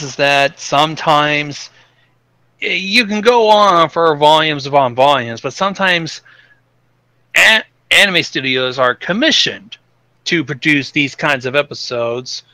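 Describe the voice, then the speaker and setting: A man's voice: on the high side, animated, measured pace, bright, forceful tone, dry recording. A man appearing as a podcast guest, explaining his opinions casually and swearing freely.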